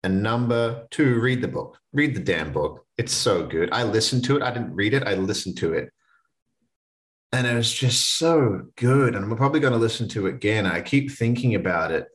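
A man's voice: on the low side, lively intonation, normal speed. A man in his late twenties hosting a solo motivational podcast, talking in a casual, earnest way. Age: 20s. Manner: casual, earnest, conversational with restarts. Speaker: podcast host.

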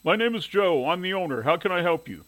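A man's voice: sits high, expressive, quick pace, fairly bright tone, voice pushed up, low-quality recording. A man voicing a friendly, easygoing male building owner with a genial, helpful manner in an audiobook. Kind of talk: audiobook character dialogue.

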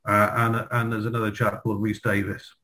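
A man, reminiscing in a halting way, often repeating words. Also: fairly low pitch, level tone, bright, forceful tone, slight background noise. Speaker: guest, former pupil. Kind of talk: reminiscing in a group video chat.

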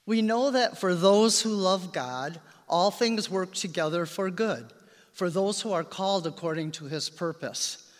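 A man with a high voice reads scripture aloud in a formal manner. The long reverb of a large church surrounds his words. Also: measured pace. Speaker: scripture reader at a church service.

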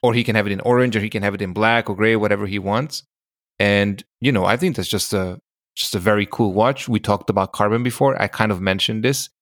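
A man's voice: on the low side, a little fast, a bit bright, no room sound, studio quality. A man speaking as a podcast co-host, telling stories in a casual, conversational way.

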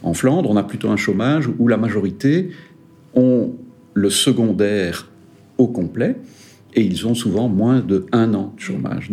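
Low-voiced man explaining. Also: gravelly, mellow. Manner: measured, hesitant fillers, stressing key points. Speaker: regional director of an employers' federation, guest. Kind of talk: interview answers, explanatory.

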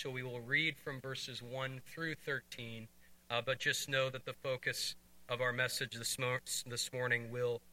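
Hoarse pastor with a bright voice. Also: male voice, medium pitch, low-quality recording. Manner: earnest, measured, with frequent "uh" fillers. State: hoarse from a cold. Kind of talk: sermon with scripture reading.

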